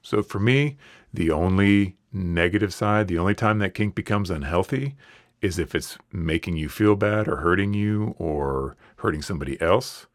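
Deep-voiced man, hoarse with a cold. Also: moderate pace, no room sound, clean studio sound. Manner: earnest, reassuring, plainspoken. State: losing his voice from a cold. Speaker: podcast host. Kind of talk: solo podcast monologue.

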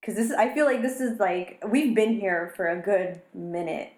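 A woman's voice: mid-range voice, normal speed, darkish tone. Chatty female co-host, roomy sound. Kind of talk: casual interview talk.

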